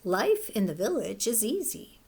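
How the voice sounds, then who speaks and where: A woman's voice: on the high side, very animated, measured pace, creaky, a little mellow, husky, heavily acted. A woman voicing a greedy, selfish hen in a storybook, speaking in a self-satisfied, boastful way. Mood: scheming.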